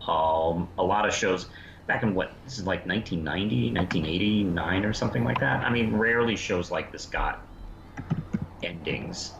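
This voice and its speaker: A man co-hosting a review show, explaining things in casual chat with halting pauses. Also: lowish voice, vocal fry, fairly bright tone. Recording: dull, roomy sound with faint noise.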